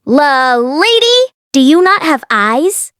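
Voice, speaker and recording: A woman reading aloud the part of a stern, calm male cultivation master in an audiobook, terse and commanding. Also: high voice, slow pace, no room sound, clean studio sound, voice pushed up, heavily acted. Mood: indignant.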